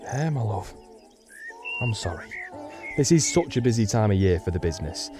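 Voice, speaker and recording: A man's voice: fairly low pitch, big pitch swings, normal speed, dark tone, soft background music. A man playing a cocky, slightly awkward young suitor and businessman in an audio drama. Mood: brisk, dismissive.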